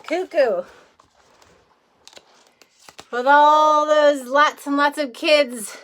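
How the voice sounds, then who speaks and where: Female kindergarten teacher for picture-book read-aloud: higher voice, unhurried pace, expressive, simple explanations and questions to the listeners. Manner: expressive storytelling, often chuckling.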